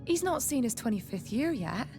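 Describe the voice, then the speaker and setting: A woman's voice: a little high, sing-song, moderate pace. An actress playing a plain-spoken, loyal female sailor in a fantasy game, frank and colloquial. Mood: confiding. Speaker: voice actor in a video game. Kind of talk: scripted fantasy game dialogue. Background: music.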